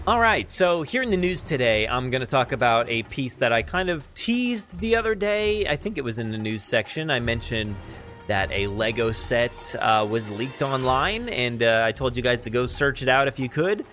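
A man hosts a podcast in a casual, unscripted style. He talks haltingly, thinking aloud as he goes, at a middle pitch with faint music in the recording. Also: lively intonation, a bit bright. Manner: halting, thinking aloud while describing what he sees. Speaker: podcast host. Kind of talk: casual unscripted news chat.

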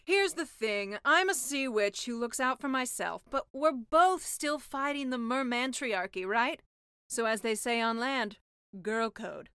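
A woman's voice: fairly high pitch, a little slow, a bit bright, clean tone, dry recording, clean studio sound. A woman voicing a brash, worldly sea witch with a blunt, matter-of-fact, slightly sly manner in a comic fairy-tale audiobook. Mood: confiding.

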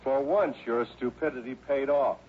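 An actor playing a gruff, harried police lieutenant in a 1960s radio drama. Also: male voice, medium pitch, normal speed, natural voice. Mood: scornful. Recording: muffled, hissy old radio recording.

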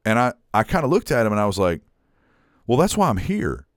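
A man's voice: medium pitch, very animated, a little fast, no echo, clean studio sound. A man co-hosting a podcast, telling a long story in casual chat and acting out what others said, swearing now and then. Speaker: podcast co-host.

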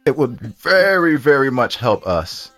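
A man's voice: medium pitch, big pitch swings, unhurried, a bit bright, no room sound. A man co-hosting a podcast, chatting casually in an easygoing, bantering way. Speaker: podcast co-host.

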